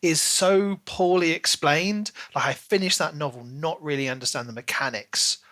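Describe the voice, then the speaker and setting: A man with a slightly high, bright, forward voice talks casually as a podcast co-host. He is opinionated and emphatic, sliding into exasperated rants. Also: moderate pace, dry recording. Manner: emphatic, ranting, mock-exasperated. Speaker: podcast co-host reviewing books. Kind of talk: casual opinionated book chat.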